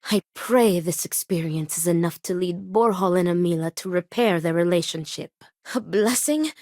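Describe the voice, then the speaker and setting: A woman's voice: lowish voice, animated, moderate pace, a little mellow, dry recording, clean studio sound, natural voice. Woman playing a stern, formal, dutiful game character. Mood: grave, earnest. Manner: formal, precise, clipped phrasing without contractions. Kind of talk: scripted video game character dialogue.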